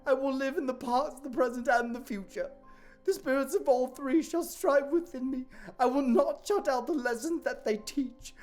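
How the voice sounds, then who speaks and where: A man playing Scrooge, an old miser begging a spirit near tears, his voice straining high and desperate in an audio drama. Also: moderate pace, a little mellow, faint music behind. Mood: pleading. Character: aging miser Scrooge, terrified and pleading.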